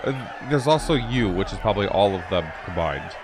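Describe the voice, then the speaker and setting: A man gives casual reaction commentary as a gaming host. His delivery is lively and sarcastic, swinging in pitch, with game music playing behind him. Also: a little fast, a crowd in the background, low-quality recording. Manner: animated, sarcastic interjections.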